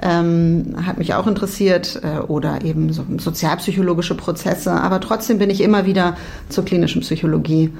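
A woman from Berlin in her forties answering interview questions thoughtfully, often pausing and restarting her sentences. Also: lowish voice, steady tone, vocal fry, darkish tone. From Berlin, Germany. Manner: thoughtful, many restarts and hesitations. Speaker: psychology professor.

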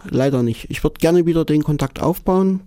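A German man talks as an interview guest, his mellow voice sitting a little high for a man. He stumbles and repeats words, tacking on "ne" as he goes. The sound is dry and close. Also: dry recording. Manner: halting, repeats words, frequent "ne" tags. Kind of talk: conversational interview. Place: living in Japan.

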